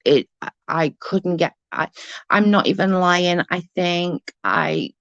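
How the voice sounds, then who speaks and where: A woman with a stutter and a gravelly, creaky voice that sits fairly low. She rambles in casual livestream chat, trips over her words and circles back on herself. The sound is close and dry, with no room around it. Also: fairly bright tone, dry recording, muffled recording. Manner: rambling, halting, often restarts and repeats words. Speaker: livestream host reading chat.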